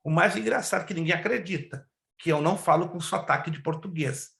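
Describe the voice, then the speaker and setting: Emphatic, somewhat high-voiced pastor teaching. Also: male voice, a little fast, creaky, a bit bright, roomy sound. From Brazil. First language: Hebrew and Spanish. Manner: emphatic, questions the class, stretches key words. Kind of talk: online Bible class lecture.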